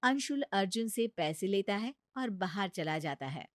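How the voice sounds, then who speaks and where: A woman's voice: mid-range voice, animated, a little slow, no room sound, clean studio sound. A woman narrating a scripted cartoon story as its storyteller. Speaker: narrator and voice performer.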